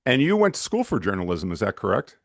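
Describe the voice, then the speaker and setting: A man's voice: lowish voice, wildly swooping pitch, fast, creaky, dry recording. A man hosting an interview show, asking questions in a friendly, hesitant way with frequent restarts. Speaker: talk show host. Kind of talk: conversational interview.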